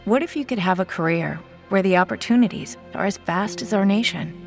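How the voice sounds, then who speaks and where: A woman's voice: medium pitch, brisk, gravelly, faint music behind. A woman narrating a recruitment advertisement in an earnest, polished way.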